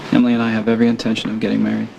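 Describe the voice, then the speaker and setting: An actor playing an earnest, protective young heir in a television soap, speaking in an everyday, sincere way. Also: male voice, lowish voice, monotone, rapid-fire, gravelly, a little mellow, slight background noise. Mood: firm, defiant. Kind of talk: television soap opera acting. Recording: dull TV audio under music.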